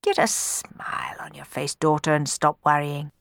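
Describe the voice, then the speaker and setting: Woman playing a measured, authoritative royal adviser. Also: mid-range voice, fairly bright tone, clear, resonant tone, dry recording, voice pushed up. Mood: gentle comfort. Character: calm, kindly, authoritative male royal adviser.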